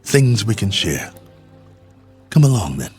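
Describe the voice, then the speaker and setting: An actor playing a stranded space traveler, weary but hopeful, halting and musing in a radio drama. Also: male voice, fairly low pitch, very animated, darkish tone, husky, faint music behind, full character voice. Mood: gentle, hopeful. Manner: halting, musing, talks to himself.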